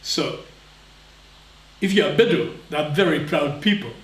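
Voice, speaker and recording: This is a man lecturing a live audience in short, emphatic bursts. His voice sits quite high for a man. The sound comes from some distance in a roomy, echoing hall. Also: expressive, normal speed. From Israel. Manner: emphatic, speaks in short phrases, acts out voices. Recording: echoey hall, voice from far off.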